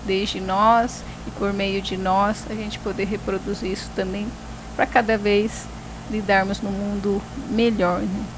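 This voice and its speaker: A woman hosts a talk show in a mid-range voice, reflective and tagging her sentences with "né". Clear background noise and passing vehicles run under her voice. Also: medium pitch, flat delivery. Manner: reflective, filling pauses with "né". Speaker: host.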